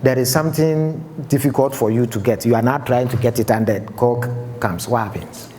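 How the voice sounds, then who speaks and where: A man's voice: mid-range voice, brisk, mellow, faint music behind. Man lecturing in an echoey hall. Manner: explanatory, checks understanding with the audience. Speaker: fire safety instructor. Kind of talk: seminar lecture. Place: large lecture room. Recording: echoey hall with faint background noise.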